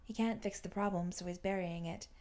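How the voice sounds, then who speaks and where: A woman's voice: mid-range voice, steady tone, brisk, a little mellow. A woman reading fan fiction aloud as scripted audiobook-style narration.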